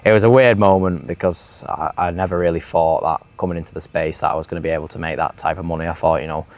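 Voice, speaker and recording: Very deep-voiced young man. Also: fairly even intonation, fast, darkish tone. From Manchester, England. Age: late teens. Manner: hesitant, lots of ums, laughs shyly. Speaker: interview guest, sales manager. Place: villa, indoors.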